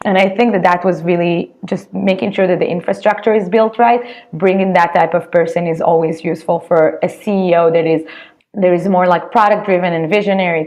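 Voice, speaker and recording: A woman with an Israeli accent speaks in a slightly low voice, answering interview questions about her company. Her talk is loose and conversational, dotted with "like" and self-corrections as she thinks aloud. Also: darkish tone. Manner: chatty, many "like" fillers and restarts. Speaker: startup co-founder and CEO.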